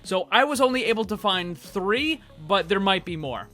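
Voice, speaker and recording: A man's voice: sits high, expressive, moderate pace, music in the background. A man hosting gaming news, speaking in an emphatic, informal way straight to his viewers. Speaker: gaming news channel host. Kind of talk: upbeat scripted gaming news narration.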